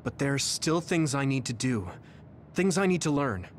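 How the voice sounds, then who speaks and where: A man playing a determined, burdened young soldier in a video game, speaking in an earnest, measured way. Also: mid-range voice, expressive, a little hiss or hum, natural voice. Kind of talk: video game cutscene acting. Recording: dramatic music behind the voice.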